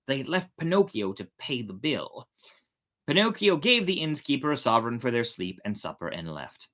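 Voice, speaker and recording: A woman with a low voice narrates a storybook. Her delivery is theatrical and extremely animated, shaping each phrase dramatically. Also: normal speed. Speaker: storyteller, host.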